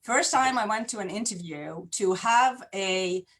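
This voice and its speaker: A woman, chatting as an interview guest. She talks in a lively, open way and restarts her sentences as she goes, with a voice of middling pitch. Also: a little slow. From Hungary. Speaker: guest, digital marketer. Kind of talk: casual interview on a livestream.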